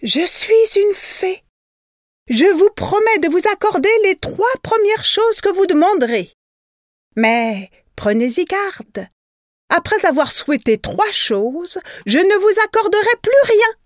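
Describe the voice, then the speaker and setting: A woman's voice: extremely high pitch, very animated, dry recording, clean studio sound, pitched above the performer's own voice, heavily acted. A woman doing a stately storybook fairy. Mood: solemn. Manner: slow, grave warning delivery.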